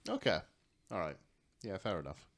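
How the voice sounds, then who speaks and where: A man's voice: low-pitched, huge pitch swings, moderate pace, vocal fry, fairly bright tone. A man co-hosting a podcast, chatting casually with a dry, wry sense of humour. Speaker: podcast co-host. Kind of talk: casual banter.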